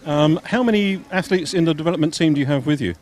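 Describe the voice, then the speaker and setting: A man commentating live on a junior triathlon race and interviewing a coach, in a measured, informative way with occasional fillers. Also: on the high side, darkish tone. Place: outdoors at a triathlon venue.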